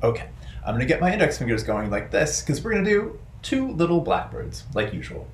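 A man in his thirties telling stories and rhymes to small children as a storytime host, in playful, simple, deliberate phrasing. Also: on the high side, big pitch swings, slight background noise. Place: bright indoor room with wooden floor.

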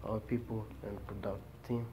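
A man talking casually as he films a handheld vlog, repeating short phrases and giving shout-outs. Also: on the low side, little pitch movement, quick pace. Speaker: vlogger. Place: church back rooms, indoors. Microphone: handheld phone. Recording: muffled noisy phone recording.

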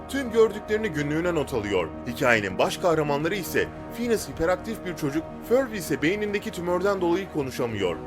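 Man, slightly high voice, scripted list-video narration, very varied intonation.

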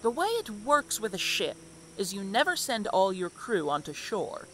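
A woman playing a practical, reasoning young seafarer in a fantasy audio drama, explaining in a measured way. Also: medium pitch, sing-song, a bit bright, slight background noise, rough recording. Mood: warning.

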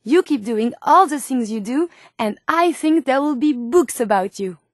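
A woman's voice: high voice, lively intonation, a little slow, no echo, clean studio sound. A woman voicing an earnest, polite female robot scientist with a French accent and formal, precise phrasing, as game companion dialogue. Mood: tender, devoted.

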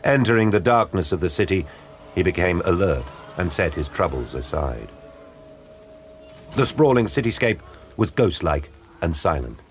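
A man narrating an audio drama in a grave, measured storytelling style. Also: very deep voice. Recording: studio narration over music. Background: dramatic music.

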